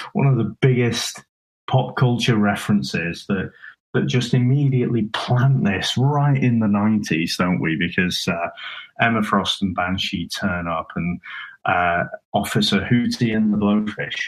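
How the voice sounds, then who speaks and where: Man, low voice, podcast co-host, casual film review, rambling, restarts. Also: rough recording. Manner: rambling with many restarts and chuckles.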